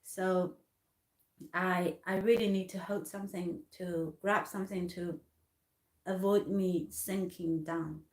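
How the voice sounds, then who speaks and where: A woman from Hong Kong in her thirties telling a personal story in halting English with many restarts. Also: lowish voice, steady tone, echoey room. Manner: halting, many restarts and fillers, non-native grammar. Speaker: artist vlogger.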